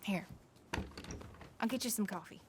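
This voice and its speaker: A woman's voice: medium pitch, a little slow, noisy background, close to an everyday voice. An actress playing a hardened American frontier wife and mother, frank and rural in speech, in a game drama. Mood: gentle. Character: hardened, plain-spoken frontier wife and mother, fed up yet hopeful. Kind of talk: naturalistic video game drama acting.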